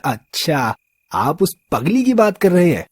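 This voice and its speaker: A man's voice: on the high side, sing-song, measured pace, husky, exaggerated voice. A man playing a drunk, fawning petty-thug sidekick in a story, chatty and deferential in street slang. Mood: wary, gossipy. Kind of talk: Hindi audio story character acting.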